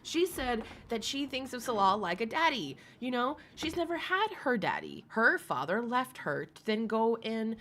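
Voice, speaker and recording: A woman's voice: a little high, sing-song, normal speed, hardly any pauses, a bit bright. Chatty woman rambling to her chat. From Alaska. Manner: chatty, rambling, laughs often, asides to chat. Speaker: commentary streamer. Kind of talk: casual commentary chat.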